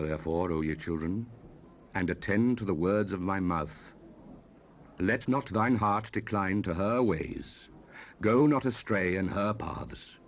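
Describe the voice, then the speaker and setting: A man giving a solemn, theatrical dramatized reading of scripture, recorded on an old cassette with hiss. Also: fairly low pitch. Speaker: scripture narrator. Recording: 1970s cassette rip with hiss.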